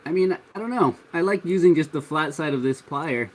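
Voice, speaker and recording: A congested man hosting a livestream, chatting in a relaxed, rambling way while he works, chuckling often. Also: on the high side, fairly quick. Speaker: 3D printing livestream host. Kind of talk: casual livestream talk while working.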